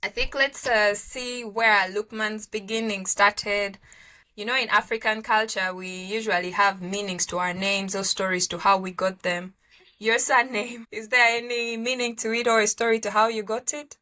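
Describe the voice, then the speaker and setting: A woman's voice: mid-range voice, fairly even intonation, normal speed, sharp tone. A young woman hosting an interview, reading a polished guest introduction and asking questions in an upbeat way. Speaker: host. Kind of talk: interview host, scripted intro then chat. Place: room with bookshelves.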